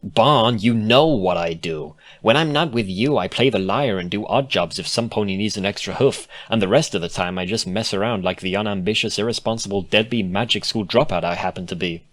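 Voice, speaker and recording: A man's voice: fairly low pitch, a little fast, few pauses, a bit bright. A man voicing a defensive, laid-back female cartoon unicorn, grumbling with sardonic reluctance in a storybook reading.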